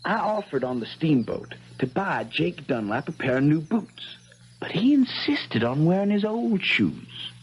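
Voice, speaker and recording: An actor playing an eager, clever young small-town lawyer hero in a 1970s radio drama, telling things in a folksy, theatrical way. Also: male voice, a little high, very animated, a little slow, a little hiss or hum, rough recording. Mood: explaining excitedly.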